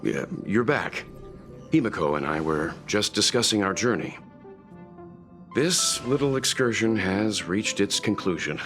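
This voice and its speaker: A wise, fatherly mentor from a video game. His voice is very deep, and he speaks in a calm, measured, philosophical way. Faint music sits underneath. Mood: reflective.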